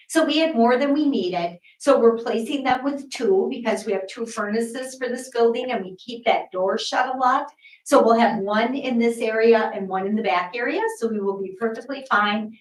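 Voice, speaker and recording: A woman lays out a practical update step by step, in a plain and matter-of-fact way. Her slightly high voice comes from far off, carrying through a reverberant church sanctuary. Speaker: church member giving an update. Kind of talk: announcement to a congregation. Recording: roomy church sanctuary from a distance.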